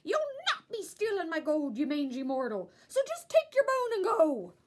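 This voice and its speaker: A woman's voice: high-pitched, very animated, normal speed, voice pushed up. A woman voicing a grumpy, hot-tempered male leprechaun from a children's storybook, indignant and emphatic. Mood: angry, shouting. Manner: shouting, indignant, emphatic exclamations. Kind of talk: children's storybook character reading.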